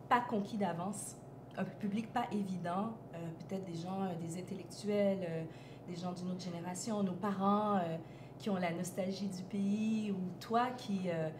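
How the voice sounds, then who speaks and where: A woman who hosts the interview and asks friendly, probing questions. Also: medium pitch. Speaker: interviewer host. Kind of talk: casual interview. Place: café. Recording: echoey noisy café.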